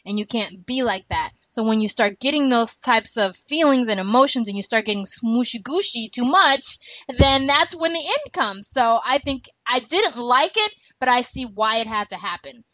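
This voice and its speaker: A woman with a fairly high voice hosts a casual podcast. She talks like a playful fan, using plenty of slang. The home recording is dry and slightly dull, with very little room sound. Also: normal speed, no echo. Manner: animated fan talk with slangy, playful wording. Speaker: podcast host. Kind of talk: casual podcast chat about a TV show.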